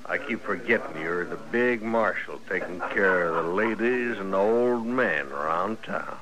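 Slow, deep-voiced, sneering western bully. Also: male voice. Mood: mocking. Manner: folksy, sneering speech. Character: mocking, swaggering frontier bully with a drawl. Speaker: actor in a radio western. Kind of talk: 1950s radio drama acting. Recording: old radio recording with faint noise.

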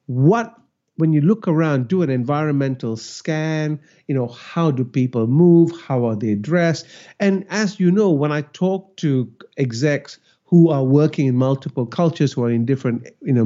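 A man from Malaysia talks through a solo podcast in an animated way, with his pitch rising and falling as he teaches. His voice is mellow and soft, and the recording sounds a little dull and lo-fi. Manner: animated, teaching tone with rhetorical questions. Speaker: cultural intelligence educator, podcast host. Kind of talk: reflective solo podcast monologue.

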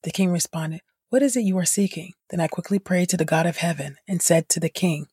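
A woman's voice: lowish voice, fairly quick, darkish tone, slightly rough, no echo. Woman reading scripture, close and dry. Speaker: podcast host and Bible reader. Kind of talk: scripted audio Bible reading.

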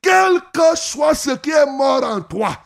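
A man preaching a revival sermon, shouting and stretching his phrases into a sing-song chant. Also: very high voice, sing-song, slow pace, gravelly.

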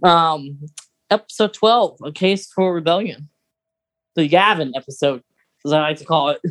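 A podcast co-host with a medium-pitched voice. The delivery is casual and conversational, like a fan talking things over with a friend. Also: normal speed, fairly bright tone.

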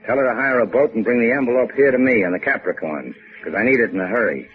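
An American actor playing a cool, wisecracking adventurer in a 1950s radio drama, with a sardonic, slangy delivery. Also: male voice, mid-range voice, fast, soft background music, close to an everyday voice. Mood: casual scheming. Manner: sardonic, casual drawl with tough-guy slang. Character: wry, cool, wisecracking boat-owning hotel keeper adventurer. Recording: muffled, phone-like old radio recording.

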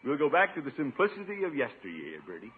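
An actor playing a pompous, blustery, thrifty middle-aged uncle in a chuckling, theatrical 1950s radio sitcom style. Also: male voice, on the high side, wildly swooping pitch, quick pace. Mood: self-righteous, boastful. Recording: noisy, phone-like old radio recording.

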